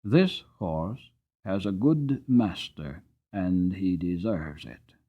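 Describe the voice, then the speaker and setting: A man voicing a kindly older gentleman in a storybook, courteous but firm and decided in his speech. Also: sits low, slow, husky, lo-fi sound, pitched below the performer's own voice, heavily acted. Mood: quiet approval.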